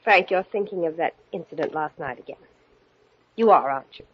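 An actress playing a loving, soothing new bride in a theatrical old radio drama style, on a noisy vintage recording. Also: female voice, deep voice, a little fast, husky, dull audio. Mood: soothing, firm. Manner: tender, theatrical endearments. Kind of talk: 1940s radio drama acting.

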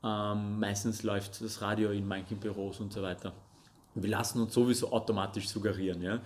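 Young man, from Russia, lowish voice, earnest, hesitant, faint hum behind. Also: little pitch movement, a little fast. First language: Russian and Armenian. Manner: earnest, talks with hesitant ums. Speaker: podcast guest. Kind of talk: casual interview chat.

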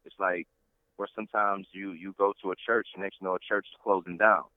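A man's voice: low-pitched, fairly even intonation, fairly quick, no room sound. A man as an interview guest, talking in a rambling, preacherly way with many fillers and restarts. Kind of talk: casual interview talk. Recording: tinny phone-line sound.

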